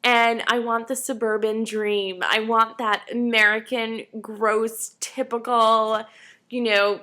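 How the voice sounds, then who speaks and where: Bright-voiced tired young woman. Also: a little high, slow. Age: 20s. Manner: chatty, self-deprecating, animated. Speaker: vlogger. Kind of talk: casual chat to camera.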